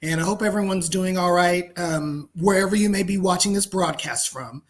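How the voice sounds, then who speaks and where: A man's voice: high-pitched, moderate pace. Man, from the US, guest presenter, casual, friendly, lots of ums, video call mic. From United States. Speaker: guest business founder. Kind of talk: webinar presentation.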